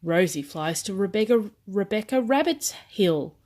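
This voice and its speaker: A woman reads bedtime stories aloud for small children. Her voice sits at a medium pitch, and she phrases the scripted narration simply and deliberately. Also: animated, darkish tone. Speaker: children's story reader.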